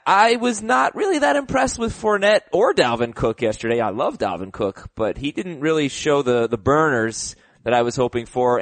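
A man hosting a casual sports talk show, animated and giving hot takes, his voice a little rough from getting sick. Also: fairly high pitch, no echo. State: slightly sick voice. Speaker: podcast host.

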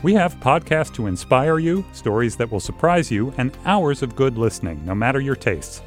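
Male trailer announcer, mid pitch, upbeat scripted read, faint music bed. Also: normal speed. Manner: upbeat, even announcer delivery. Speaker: network host. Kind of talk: scripted promo narration.

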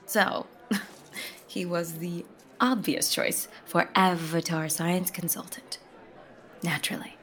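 A woman's voice: on the low side, fairly even intonation, slow, pauses often, a bit bright. An actress playing a catty female stage director who gushes and teases in turn. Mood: effusive. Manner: teasing, effusive.

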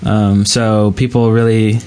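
A man's voice: lowish voice, flat delivery, slow, darkish tone, clean tone. A man co-hosting a gaming podcast, talking in a casual, conversational style. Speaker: podcast co-host.

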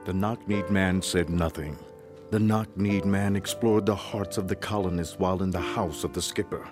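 A man's voice: sits low, fairly even intonation, moderate pace, music in the background, natural voice. A man performing as a storyteller, delivering scripted dramatic narration of a historical legend in a rhythmic, incantatory cadence. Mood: hushed, solemn.

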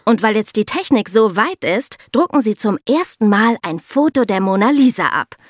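A woman's voice: mid-range voice, sing-song, normal speed, no room sound, studio quality. A woman co-hosting a podcast, explaining things in an emphatic, colloquial way with rhetorical questions. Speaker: podcast co-host. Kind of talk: lively explanatory talk with co-hosts.

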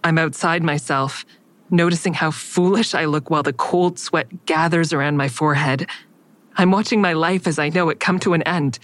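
An actress plays an anxious woman telling her own story in an audio drama. Her voice is low for a woman. She sounds restrained, guarded and introspective, in both narration and dialogue. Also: steady tone, normal speed, lightly acted. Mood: quiet dread.

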